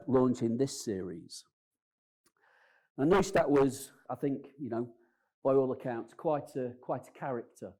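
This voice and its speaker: A middle-aged man with a loud voice, a professor giving chatty welcoming remarks into a mic at a university lecture. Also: medium pitch. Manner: loud voice, self-aware and chatty. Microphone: mic'd up.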